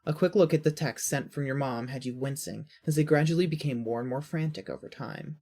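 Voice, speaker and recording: A woman's voice: very deep voice, a little fast, warm tone, slightly rough. A woman reading scripted second-person story narration.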